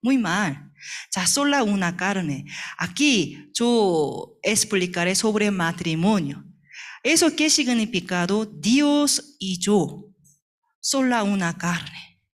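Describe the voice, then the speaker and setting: Woman, Korean accent, native Korean speaker, Bible teacher, slow pace, medium pitch, halting simple phrasing, short check questions. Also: expressive. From South Korea.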